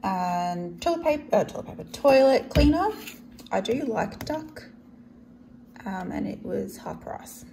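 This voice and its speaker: A woman's voice: fairly high pitch, big pitch swings, a little slow, warm tone, slight background noise. A woman with an Australian accent chatting casually to camera as a vlogger, unscripted and often trailing off mid-thought. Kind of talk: casual grocery haul chat to camera.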